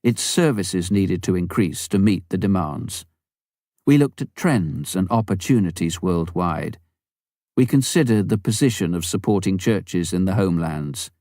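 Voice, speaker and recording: A man's voice: low-pitched, animated, unhurried, dark tone, no echo, clean studio sound. A man reading a memoir aloud as scripted audiobook narration. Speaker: audiobook reader.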